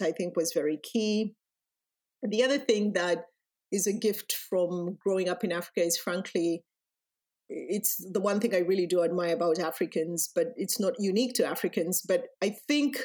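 A woman with a Ugandan accent speaks in a fairly low voice. She talks as a podcast guest in a reflective, measured way. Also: a little mellow. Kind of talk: podcast interview.

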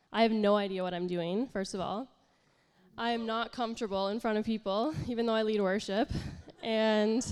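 A woman's voice: medium pitch, clean tone, big-room sound. A young woman pastor giving an informal, candid sermon, sounding congested and nasal, chatty and self-deprecating with lots of fillers. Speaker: pastor and worship leader. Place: church hall.

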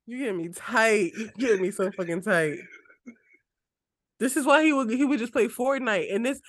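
A woman's voice: on the high side, normal speed, no echo. A woman in her twenties co-hosting a podcast, chatting casually, teasing and cursing, often breaking into laughter. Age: 20s. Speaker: podcast co-host. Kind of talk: casual chat.

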